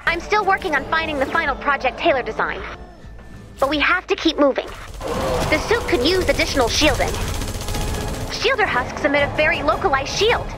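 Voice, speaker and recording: Very high-pitched, peppy geeky engineer. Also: female voice. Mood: eager, focused. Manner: enthusiastic, quippy, teasing. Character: peppy, geeky female engineer guiding a mission. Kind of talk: animated video game mission dialogue. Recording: game music behind the voice.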